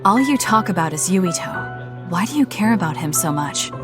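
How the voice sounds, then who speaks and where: A woman voicing a calm, blunt young soldier heroine in an anime-style game, speaking in a measured, matter-of-fact way. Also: lowish voice, over music, lightly acted. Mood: cool. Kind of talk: anime game cutscene acting.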